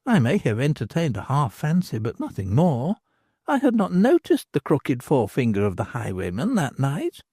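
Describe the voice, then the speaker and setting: A woman's voice: rumbling low, wildly swooping pitch, moderate pace, dark tone, breathy edge, no room sound, studio quality, voice pushed up, heavily acted. A reader voicing a grave young woman who explains things in a formal, careful way. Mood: earnest.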